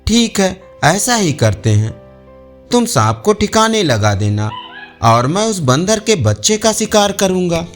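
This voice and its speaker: A man's voice: high voice, sing-song, unhurried, faint music behind. A man voicing an aging male lion in a storybook tale, resentful, grumbling and threatening. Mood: plotting.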